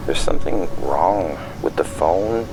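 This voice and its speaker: A man plays an anxious, isolated dad in an audio drama. His voice sits extremely low and moves slowly, hesitating and trailing off. Loud noise rumbles behind him. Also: expressive. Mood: weary, confused. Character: anxious, isolated, bewildered father leaving voicemails. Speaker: actor in a fiction podcast. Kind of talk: naturalistic audio drama phone monologue. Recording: noisy line with loud background rumble.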